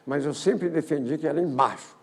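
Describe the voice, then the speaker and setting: An older man, giving a physics colloquium talk on history in a lecture hall, halting often with repeated words and false starts. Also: fairly high pitch, vocal fry, slightly rough. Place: lecture auditorium.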